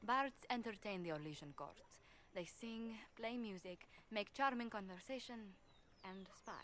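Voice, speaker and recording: A woman's voice: mid-range voice, measured pace, fairly bright tone, slight background noise, lightly acted. An actress playing a poised female ambassador and former bard in a video game, speaking formally and with composure. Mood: composed.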